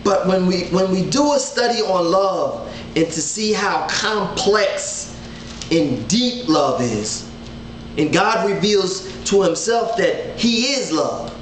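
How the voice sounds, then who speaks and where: A man in his thirties with a rather high voice teaches scripture in an unhurried, earnest way. He gestures as he speaks and circles back over his words. The webcam sound is roomy, with a faint hum of ambience behind him. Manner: earnest, animated hand gestures, repeats words. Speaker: Bible study teacher. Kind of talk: casual online Bible teaching. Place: living room at home, indoors. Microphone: webcam at desk distance. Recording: roomy home webcam audio.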